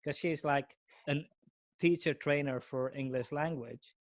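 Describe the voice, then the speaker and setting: A man's voice: a little high, measured pace, no room sound. A man hosting a casual podcast, laid-back and joking, often saying "like.". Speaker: podcast host. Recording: dry close sound.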